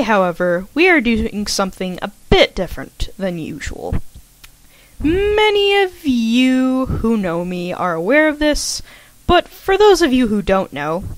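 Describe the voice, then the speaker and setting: A woman's voice: fairly high pitch, big pitch swings, measured pace, no echo. A woman channel host giving a chatty, scripted introduction to listeners, informal and full of self-aware asides. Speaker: host of a gaming channel, fan fiction writer introducing a podfic.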